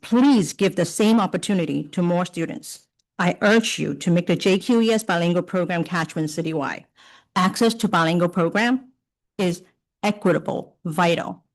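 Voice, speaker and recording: A woman teacher reading a prepared public comment at a microphone in a public meeting room, earnest and measured, stressing key words. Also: lowish voice. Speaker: teacher giving public testimony.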